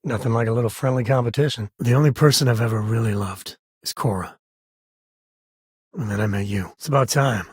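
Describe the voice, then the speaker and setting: A husky, wry spacefaring ranger from a video game, played by a male actor, with a mid-pitched male voice. He talks in plain, folksy phrases like a protective, easygoing dad. The sound is close and dry. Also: fairly quick, no room sound, natural voice. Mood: earnest, low-key. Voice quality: husky, raspy. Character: laid-back, protective spacefaring frontier ranger and devoted father. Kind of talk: video game character voice lines.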